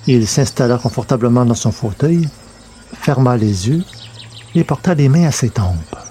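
Guy narrating a sci-fi audio serial, mellow medium voice, reading from script, faint noise behind. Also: medium pitch, expressive, moderate pace.

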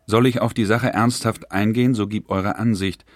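Male actor voices a 19th-century businessman's letter, low, formal, dry. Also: fairly even intonation, dry recording, natural voice. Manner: formal, measured. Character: 19th-century businessman writing to his brother. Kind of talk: dramatized letter reading.

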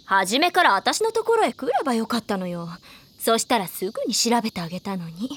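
A woman voicing a haughty, playful high school senior in an anime audio drama, coy and knowing. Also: expressive, a little fast, fairly bright tone, husky. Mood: coy, smug.

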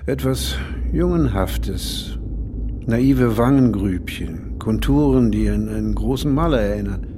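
An actor playing an enigmatic, insistent man in an audio drama, speaking deliberately with formal politeness and calm authority. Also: fairly low pitch, noisy background. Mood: musing, cryptic. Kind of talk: German audio drama acting.

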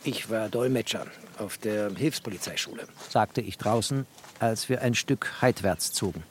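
An actor playing a wry, bookish man who narrates a literary radio play in a mannered, expressive way. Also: on the low side, moderate pace, slight background noise, natural voice. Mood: wry, observant. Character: bookish, wry first-person narrator, a former interpreter.

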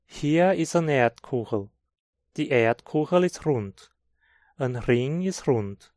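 Male course reader, Hunsrik-accented German, unhurried and clear, dry studio sound. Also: mid-range voice, expressive, darkish tone, dry recording. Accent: Brazilian Hunsrik German. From southern Brazil. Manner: slow, clear teaching delivery. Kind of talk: scripted textbook dialogue.

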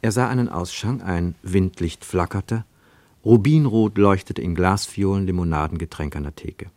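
A man's voice: sits low, no room sound. A man reading scripted literary narration for a radio drama, with dramatic, clipped phrasing.

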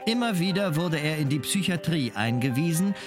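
An actor playing a sober biographical narrator, reading scripted documentary material in a factual manner. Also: male voice, fairly high pitch, animated, moderate pace, over music, close to an everyday voice.

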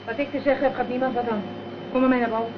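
A woman's voice: a little high, steady tone, very fast, close to an everyday voice. A woman playing a brash, blunt, streetwise chip-shop girl in a Dutch radio drama. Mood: brash, wary. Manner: blunt, cheeky. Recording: dull old radio recording, faint noise.

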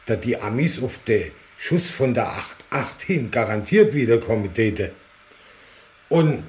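An earnest older village man remembers the war in a radio play. He speaks plain, colloquial southwestern German dialect at a medium male pitch, like a rustic eyewitness. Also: darkish tone. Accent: southwestern German regional dialect. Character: earnest older village war veteran recounting his past on camera. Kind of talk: rustic spoken eyewitness recollection.

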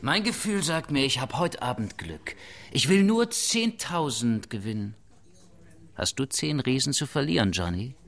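An actor playing a brash, irritable, aggressive young hoodlum in a crime radio play. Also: male voice, medium pitch, very animated, unhurried, a bit bright. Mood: cocky challenge. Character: cocky, irritable young hoodlum with a threatening temper. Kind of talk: hard-boiled radio crime drama acting.

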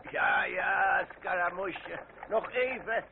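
Homely deferential coachman, slow, radio play, muffled vintage sound with noise. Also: high-pitched, expressive, creaky, heavily acted. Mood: soothing. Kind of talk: Dutch radio play acting. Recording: old noisy muffled radio play recording.

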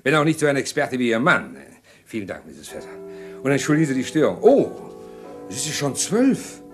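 An actor playing a scheming, courteous husband in a radio crime drama, speaking in a formal way with polite hesitations. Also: male voice, mid-range voice, sing-song, moderate pace, soft background music. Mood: politely affable. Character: smooth, scheming husband confessing a murder plot, outwardly courteous.

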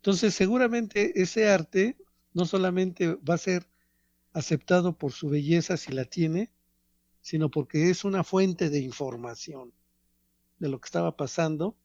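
Man, professorial radio commentator, slow deliberate delivery with pauses. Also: fairly high pitch, darkish tone, dry recording, dull audio. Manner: measured, professorial, pauses between phrases. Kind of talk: reflective radio talk on art.

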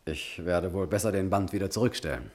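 An actor playing a young, struggling Hollywood screenwriter in a German radio drama, wry, charming and flattering. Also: male voice, low-pitched, a little fast. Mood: ingratiating, wry.

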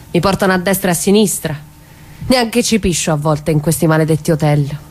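Un'attrice che fa una madre stanca e amareggiata. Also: female voice, fairly low pitch, darkish tone, lightly acted. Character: weary, embittered mother in hiding as mafia witness. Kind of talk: theatrical dramatic monologue.

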